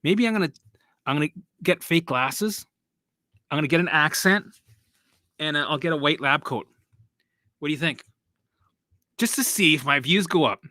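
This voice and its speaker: A man's voice: fairly high pitch, expressive, moderate pace, long pauses, pushed and bright, no echo, studio quality. A man hosting a casual live stream as a recovery coach. He rambles and repeats himself, swears casually and laughs at himself as he answers viewers.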